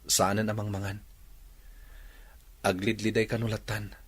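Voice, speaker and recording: Soft-spoken pleading young son. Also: male voice, lowish voice, level tone, unhurried, slightly rough. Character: remorseful young man, playboy son.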